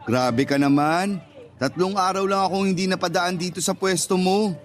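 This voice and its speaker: Shy, oblivious young man, male actor, radio drama customer, high-pitched and plain-spoken, faint music bed. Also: fairly even intonation, normal speed, natural voice. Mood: friendly, sheepish. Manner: innocent, puzzled, plain-spoken. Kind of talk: Tagalog radio drama acting.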